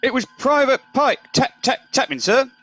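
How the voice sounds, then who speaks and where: A man playing an eager, excitable old corporal who repeats himself in a comic radio play. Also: extremely high pitch, moderate pace, music in the background. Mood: agitated, eager. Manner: eager, repetitive, deferential.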